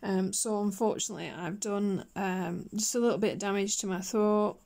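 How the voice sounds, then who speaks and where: A woman chatting casually on her colouring channel, her voice hoarse and croaky after a chest infection, rambling with fillers and coughs. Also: medium pitch, fairly even intonation, normal speed, a little mellow. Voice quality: husky. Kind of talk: casual chat while colouring.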